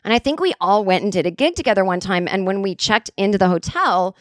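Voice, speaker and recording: A raspy woman in her forties tells a story on her podcast in a loose, profane, animated way. Her pitch sits in an ordinary middle range. The close mic keeps her dry with no room sound. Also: fast talker, no echo. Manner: profane, animated, acts out quotes. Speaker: comedian and podcast host. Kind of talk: casual comedic storytelling.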